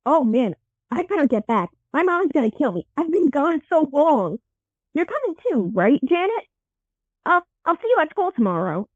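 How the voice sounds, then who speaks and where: A woman's voice: high-pitched, very animated, normal speed, no room sound, lo-fi sound. A woman voicing an earnest, aggrieved young teen boy hero in a fantasy audio drama, emotional and a little whiny. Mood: casual, sheepish.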